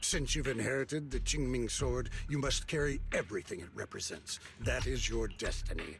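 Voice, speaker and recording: An actor playing a stern, dutiful martial-sect elder in a video game, speaking in a formal, measured, commanding way. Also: male voice, mid-range voice, creaky, music in the background, lightly acted. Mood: stern, reproachful.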